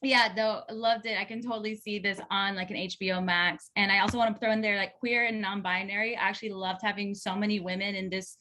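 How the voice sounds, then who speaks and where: A woman with a bright, forceful voice talks in a casual group discussion. She gives feedback as an actor, enthusiastic and loose, wandering from thought to thought at a middle pitch. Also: steady tone, quick pace, few pauses. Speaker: actor giving feedback after a table read.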